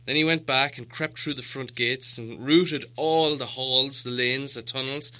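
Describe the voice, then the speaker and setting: A proud old dwarf lord acted out by a male reader tells his people's history like a storybook elder. He is solemn and grandiose, with a very bright, forceful edge to a middle-pitched voice. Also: steady tone, a little slow, clean tone. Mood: urgent, dramatic. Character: proud, solemn old dwarf leader recounting lost glory. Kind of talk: audiobook character dialogue.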